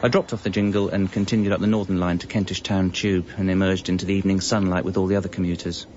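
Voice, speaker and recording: An actor playing a wry, evasive British husband and father in his thirties, confessing in a deadpan, conversational comic narration. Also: low voice, fast talker, slight background noise, natural voice. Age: 30s. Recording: dull, lo-fi radio audio. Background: music.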